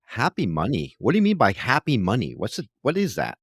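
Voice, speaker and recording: A man's voice: medium pitch, huge pitch swings, a little fast, slightly rough, no room sound, clean studio sound. A man co-hosting a podcast who talks eagerly and effusively, sometimes stumbling over his words. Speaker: podcast co-host. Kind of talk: podcast interview.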